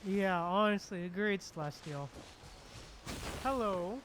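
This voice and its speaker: A woman's voice: mid-range voice, slow, background noise. A woman streamer reacting casually to a game, laid-back and often repeating her phrases.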